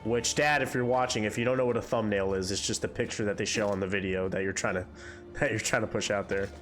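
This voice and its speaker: A young man with a fairly low voice, audibly congested, chatting casually and candidly while he hosts a game playthrough. Game music plays under his rambling talk. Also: steady tone, fast talker, a bit bright. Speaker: gaming channel host.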